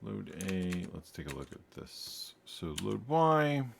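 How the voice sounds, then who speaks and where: A low, gravelly male voice talks slowly, half to himself, as he works through game code. He stops, restarts and corrects himself mid-thought while he debugs. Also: very animated, a little hiss or hum. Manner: muttering to himself, halting and self-correcting. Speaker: game programmer. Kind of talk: thinking aloud while coding.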